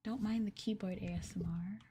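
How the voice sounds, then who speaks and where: A woman hosting an ASMR livestream, chatting casually and gently in a soft, breathy voice that often drops into a whisper, close to the mic. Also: mid-range voice, steady tone, fairly quick, slight background noise. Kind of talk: soft-spoken ASMR casual chat with viewers. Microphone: close ASMR microphone.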